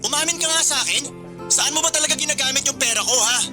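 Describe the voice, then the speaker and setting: A man's voice: very high-pitched, quick pace. A man playing an earnest, hurt and suspicious young boyfriend in a radio drama, speaking emotionally. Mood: hurt, accusing. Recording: music bed under the dialogue. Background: background music.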